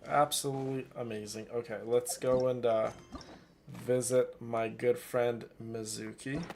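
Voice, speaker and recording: A man's voice: medium pitch, level tone, a little slow, gravelly, a little mellow, a little hiss or hum. A man chatting casually as a livestream host while he plays a game, effusive and affectionate toward his viewers.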